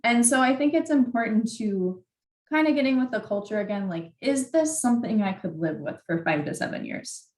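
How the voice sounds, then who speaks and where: A woman's voice: a little high, expressive, moderate pace, a little mellow. A young adult woman giving informal advice as a graduate student mentor in a workshop, conversational and candid, often saying "like". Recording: roomy, echoey sound.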